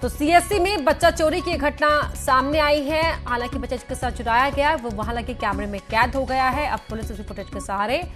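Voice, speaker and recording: A woman's voice: high voice, normal speed, few pauses, fairly bright tone, faint music behind. A woman news anchor reading a scripted news bulletin.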